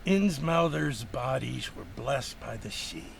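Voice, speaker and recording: An actor playing a defensive, bitter, fish-faced outcast townsman in a video game, with a creaky voice. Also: mid-range voice, expressive, takes it slowly, a little hiss or hum, heavily acted. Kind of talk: video game dialogue acting.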